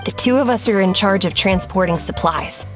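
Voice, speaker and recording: A woman's voice: mid-range voice, moderate pace, music in the background, natural voice. A woman playing a polite, grateful young surfer in a fantasy game. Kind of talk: animated fantasy game acting.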